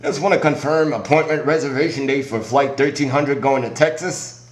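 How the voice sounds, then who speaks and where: Echoey man making a prank phone call. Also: mid-range voice. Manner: polite scripted call-agent patter, halting. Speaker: prank caller. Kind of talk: prank phone call posing as an airline agent.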